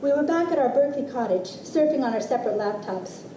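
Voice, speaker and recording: A middle-aged woman reads a literary personal essay in a soft-edged, mellow voice that sits a little high. Her delivery is expressive and deliberate, with dramatic pauses. The sound is clearly roomy, picked up from some distance. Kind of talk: literary reading of a personal essay to a live audience. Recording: roomy, distant mic with faint noise.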